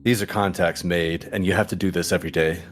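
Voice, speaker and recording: Very deep-voiced man playing a tabletop game. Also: fairly even intonation, fast talker. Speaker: tabletop roleplaying player. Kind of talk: casual improvised description of his character. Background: soft music bed.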